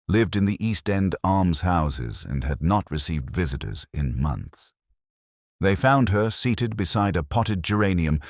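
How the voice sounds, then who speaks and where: A man narrating a scripted mystery in a measured, wry literary manner. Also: deep voice, no echo, studio quality.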